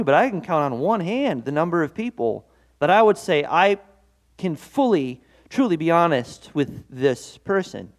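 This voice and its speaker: A man preaching a devotional sermon as pastor, earnest and conversational, with self-deprecating asides. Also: on the high side. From Norwegian-American heritage.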